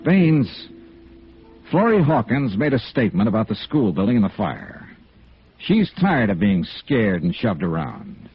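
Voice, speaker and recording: Hard-boiled American radio detective, low swooping delivery, clipped, insistent, 1950s noir style, dull vintage recording. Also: male voice, huge pitch swings, a little slow, breathy edge, faint music behind, heavily acted. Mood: firm, businesslike. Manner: clipped, matter-of-fact, insistent. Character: hard-boiled, persistent male insurance investigator. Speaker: lead actor and narrator of a radio detective drama.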